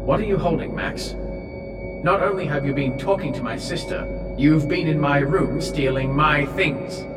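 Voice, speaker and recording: A man's voice: a little high, moderate pace, close to an everyday voice. Scornful compound boss played by a man, echoey. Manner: accusing, sardonic. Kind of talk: sci-fi audio drama acting. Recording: echoey, far, under loud music.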